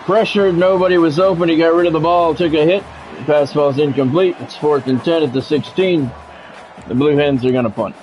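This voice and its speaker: Male sports commentator, voice a touch high, radio-style calls with offhand asides, lo-fi sound. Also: a little fast. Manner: radio-announcer phrasing with casual asides. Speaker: streamer doing play-by-play. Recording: dull, lo-fi audio with music behind.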